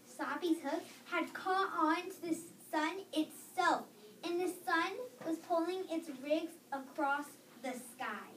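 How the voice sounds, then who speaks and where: A child with a high voice reads story lines aloud. The sound is roomy and distant, with noise behind the voice. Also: slow, a bit bright. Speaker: reader in a readers theater performance. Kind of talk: scripted story reading aloud. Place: echoey room. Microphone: distant microphone. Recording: echoey room, distant microphone, background noise.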